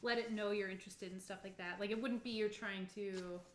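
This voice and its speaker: A woman's voice: medium pitch, fairly even intonation, a little fast. Woman game master in an echoey room. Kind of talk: casual improvised tabletop game narration. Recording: echoey room, faint background noise.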